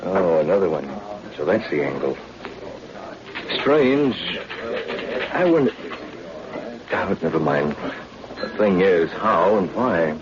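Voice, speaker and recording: Low-voiced, hard-boiled newspaperman. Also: lively intonation, slow, long pauses. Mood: brisk, pensive. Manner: slangy, clipped, hard-boiled. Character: wry, tough male newspaperman turned undercover agent. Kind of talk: old-time radio drama acting. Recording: dull vintage radio, busy background chatter.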